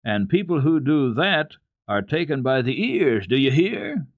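A man acts out a stern old mountain grandfather from a children's storybook. He scolds in a measured, kindly way, with pitch that swings emphatically. The sound is close and dry. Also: big pitch swings, dry recording. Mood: stern, chiding. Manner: admonishing, measured.

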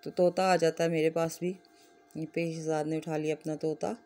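A woman with a Pakistani accent narrates a family vlog in an informal way. Her pitch stays fairly flat and even as she explains things in a chatty, run-on storytelling manner. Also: normal speed, a little hiss or hum. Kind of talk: casual vlog voice-over.

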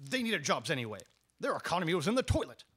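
A man's voice: a little high, sing-song, fast talker, fairly bright tone, slightly rough, exaggerated voice. A man playing a scheming, easily flustered Santa Claus with a theatrical, blustering manner in a comic radio soap parody. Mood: boastful. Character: jolly, scheming, flustered middle-aged Santa Claus, cheating husband. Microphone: stand microphone in small radio studio.

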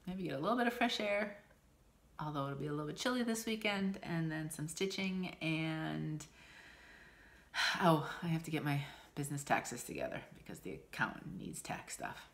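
A woman's voice: low voice, very animated, normal speed, creaky. A woman in her forties with a stuffy nose, chatting casually to camera at home about her week, rambling with ums and small laughs. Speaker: stitching vlogger. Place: small room at home, indoors. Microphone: camera in front at desk distance.

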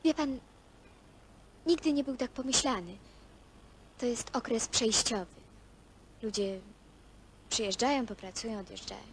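An actress playing a polite, composed young woman doing survey research in a naturalistic film drama, speaking in a measured way. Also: on the high side, lightly acted. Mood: matter-of-fact. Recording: noisy old film soundtrack with traffic.

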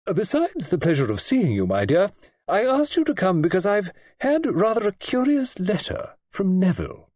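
Guy doing a bossy old grande dame, pitched way up, swings all over, husky edge. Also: wildly swooping pitch, a little mellow, no echo, studio quality, exaggerated voice. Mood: forthright. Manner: brisk, forthright, snappish. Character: imperious robust elderly aristocratic woman. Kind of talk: audiobook character voice.

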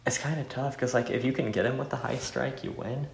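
A man's voice: mid-range voice, fairly even intonation, fairly quick, vocal fry. A man giving casual playthrough chat on a game he made, in a jokey, self-deprecating way. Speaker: game developer playing own game. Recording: roomy echo, faint music behind.

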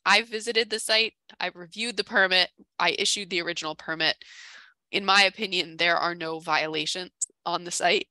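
A middle-aged woman, her voice starting to give out, carefully and haltingly presenting a case at an informal online meeting. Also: on the low side, steady tone, pushed and bright, no echo, poor audio. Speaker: town conservation staffer presenting a case. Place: indoor room, on video call. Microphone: headset on video call.

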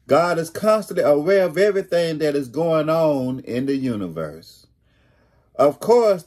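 Male pastor, middle-aged. Very unhurried, deliberate sermon delivery with emphatic repetition, mid-range voice. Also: mid-range voice, a little mellow. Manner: deliberate, repeats key phrases for emphasis. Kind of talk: sermon, read from notes. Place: small home room, indoors.

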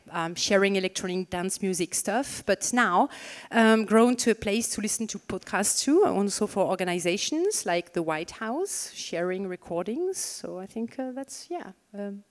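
A woman in her thirties with a French accent hosts a stage chat, speaking into a handheld microphone in a reverberant conference hall. She talks in a chatty, joking way, full of fillers and restarts, and her voice sits at a middling pitch. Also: expressive, normal speed, hardly any pauses. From France. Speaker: moderator. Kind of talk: stage fireside chat moderation.

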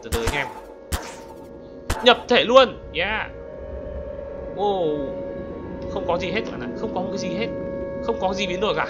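A man giving casual, exclamatory gameplay commentary as a gaming YouTuber, throwing in English exclamations. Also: high-pitched. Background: music.

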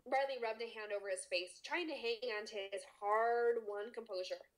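A woman's voice: fairly high pitch, normal speed. A woman narrating a story expressively as a podcast host.

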